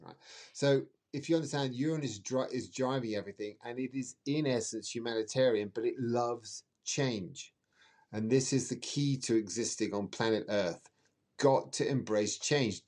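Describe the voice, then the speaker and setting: A man's voice: medium pitch, darkish tone. A man speaking as an astrologer guest in an interview, explaining emphatically in a rambling way and repeating words for emphasis.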